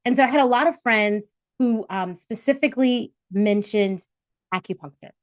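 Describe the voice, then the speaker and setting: A woman's voice: a little high, moderate pace, no echo, studio quality. A woman in her forties hosting an interview, telling a personal story in a chatty way with many fillers. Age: 40s. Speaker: host. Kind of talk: casual interview chat.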